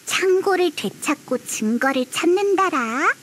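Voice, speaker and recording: A woman voicing a small, childlike flying companion in a video game, cheeky, excitable and prone to blurting things out. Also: very high voice, unhurried, slight background noise. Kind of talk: video game character acting.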